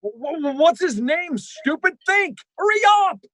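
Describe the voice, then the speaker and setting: An actor playing a sardonic doctor in vintage radio comedy, full of dry insults and prone to exasperated sputtering. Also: male voice, very high voice, sing-song, measured pace, a bit bright, no room sound, full character voice. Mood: exasperated, frantic.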